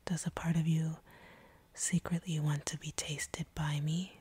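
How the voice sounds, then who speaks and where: Woman as a slow, whispering, seductive vampire. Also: low voice, flat delivery, dark tone, exaggerated voice. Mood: teasing menace. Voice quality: whispered, breathy. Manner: slow, teasing, commanding. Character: seductive, sadistic vampire woman taunting her captive hunter. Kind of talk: intimate whispered roleplay audio.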